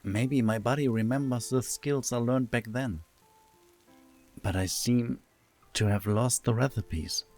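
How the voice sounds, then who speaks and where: A man's voice: mid-range voice, unhurried, a little mellow, soft background music, close to an everyday voice. A man playing a polite, tentative young man, a son living at home, in an audio drama.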